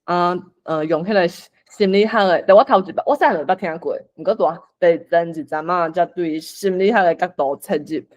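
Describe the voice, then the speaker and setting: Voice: mid-range voice, moderate pace, few pauses. A host with a Taiwanese accent, moderating a casual discussion and inviting others to share.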